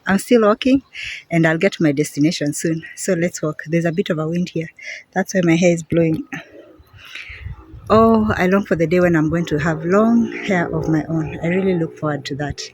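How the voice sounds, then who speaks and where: Husky Kenyan woman vlogging outdoors. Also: lowish voice, normal speed, low-quality recording. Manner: chatty, warm greetings, repeats words for emphasis. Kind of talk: casual vlog to camera while walking. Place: outdoors, walking in a quiet semi-rural area. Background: birds and crickets.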